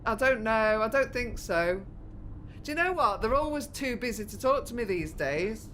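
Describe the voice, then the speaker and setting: An actress playing a wistful, caring middle-aged British daughter in a naturalistic audio drama, speaking gently and reflectively. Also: female voice, on the high side, animated, normal speed, a little hiss or hum. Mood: reassuring.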